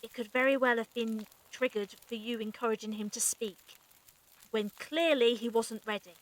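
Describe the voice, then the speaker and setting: A woman's voice: on the high side, fairly even intonation, moderate pace, natural voice, low-quality recording. A woman acting a stern, clinical medic with formal, precise speech in an audio drama. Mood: stern, cold.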